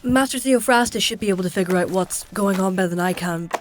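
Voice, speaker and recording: An actress playing a clever, defiant healer with a matter-of-fact, insistent manner in a fantasy audio drama. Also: female voice, mid-range voice, fast talker, a little hiss or hum, lightly acted. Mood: brisk, concerned.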